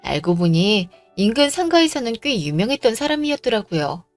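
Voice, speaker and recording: A woman narrates a scripted radio story. Her voice sits fairly high, and the recording is close and dry. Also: sing-song, brisk, no room sound, faint music behind.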